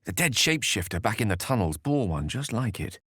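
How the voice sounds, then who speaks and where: Casting: wry, bookish wizard for a fantasy game; lowish pitch, very expressive range, articulate, theatrical, recorded dry. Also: male voice, brisk, breathy edge, no room sound, heavily acted. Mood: measured, wry. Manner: articulate, theatrical, verbose phrasing. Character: eloquent, wry, scholarly male wizard adventurer. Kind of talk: fantasy video game voice acting.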